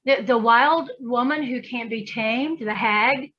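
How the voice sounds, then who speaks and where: A woman's voice: on the high side, slow pace, dull audio. A woman guest in a casual conversation, talking animatedly and acting out imagined voices as she makes her points. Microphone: video call.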